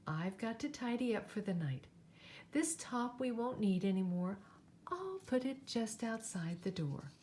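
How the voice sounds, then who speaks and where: A woman voicing a brisk, practical mother bear in a storybook, matter-of-fact. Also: mid-range voice, very animated, darkish tone, slight background noise.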